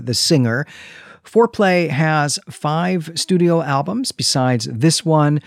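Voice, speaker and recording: A man's voice: medium pitch, no echo, studio quality. A man hosting a podcast, explaining things conversationally and often checking in with the listener. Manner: explanatory, checks in with "right?" and "you know". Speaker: podcast host.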